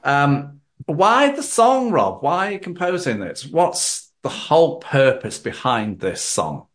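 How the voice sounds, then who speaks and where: A man's voice: on the high side, sing-song, slow pace, a bit bright. A man hosting a conversational interview, putting questions to his guest. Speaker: interviewer, host.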